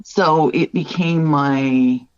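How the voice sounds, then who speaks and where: A woman's voice: low voice, drawn-out words, clear, resonant tone, no echo, lo-fi sound. Middle-aged woman from northern ontario, earnest and reflective, keeps asking right?, dry close sound. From Northern Ontario, Canada. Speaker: wildlife artist, podcast guest. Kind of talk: candid conversational interview.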